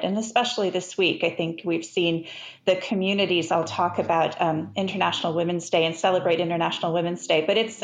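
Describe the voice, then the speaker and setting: A woman with a fairly low voice speaks earnestly on a panel, taking her time over each point. The room around her is echoey. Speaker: panelist, tech industry leader. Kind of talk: panel discussion.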